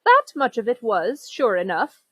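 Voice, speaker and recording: A genteel Victorian storyteller played by a woman, fairly high in pitch and very animated. The manner is theatrical, formal and chatty, with ready indignation, as in an old-fashioned radio play. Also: normal speed, no echo. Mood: horrified storytelling. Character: genteel Victorian stroller telling a horrid tale, indignant.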